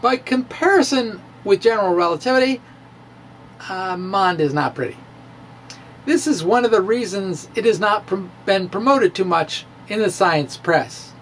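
A middle-aged man giving a dramatic, emphatic physics lecture to camera in a halting, theatrical delivery. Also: sits high, animated, a bit bright, slight background noise, rough recording. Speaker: science video blogger. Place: indoor room with bookshelves. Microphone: handheld-style microphone on a stand, close in front.

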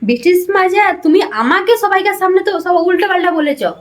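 A woman's voice: very high voice, lively intonation, fast. A young woman, in a confrontational phone conversation, accusing and insistent and often pressing for agreement. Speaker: prank caller.

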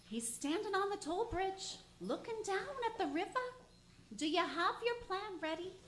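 A woman playing a gentle heavenly overseer explaining events, in an amateur radio play. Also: extremely high pitch, lively intonation, normal speed, echoey room. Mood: calm.

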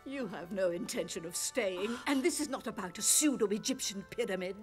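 A woman's voice: mid-range voice, expressive, normal speed, faint music behind, close to an everyday voice. A woman playing a stern, sceptical older cartoon academic, formal and disapproving. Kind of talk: cartoon character acting.

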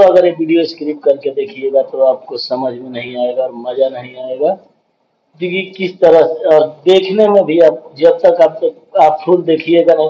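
A man's voice: on the high side, lo-fi sound. A man hosting a welding channel, explaining a pipe weld step by step and often calling viewers "friends". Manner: repeats "dekhiye" and "doston" often, explanatory. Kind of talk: explaining welding over filmed work.

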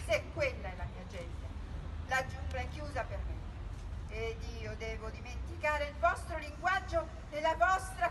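A woman voicing a proud, hurt young man raised by wolves in a read-aloud story, speaking with impassioned defiance. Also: sits high. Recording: distant mic with noisy background.

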